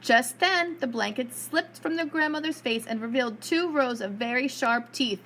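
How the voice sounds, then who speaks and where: A woman reading a storybook aloud to preschool children in an expressive storyteller's style. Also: high voice, moderate pace, a little hiss or hum.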